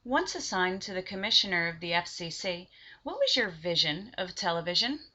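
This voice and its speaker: Female interviewer, bright forceful tone, formal scripted questions, admiring, dull lo-fi audio. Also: lowish voice, very animated, normal speed.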